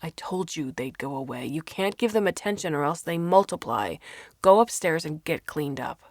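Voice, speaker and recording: A woman's voice: animated, normal speed, dry recording. A woman voicing a weary, stern father who gives curt orders in an audiobook story. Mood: weary, irritated. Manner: curt, low-key, commanding.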